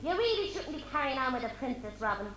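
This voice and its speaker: Cheeky pantomime lady played by a woman, bright and projected, echoing, slightly noisy stage. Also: sits high, sing-song, fast. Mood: arch. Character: cheeky Irish lady trading gags with a king. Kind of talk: comic pantomime stage acting. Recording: echoey noisy amateur stage recording.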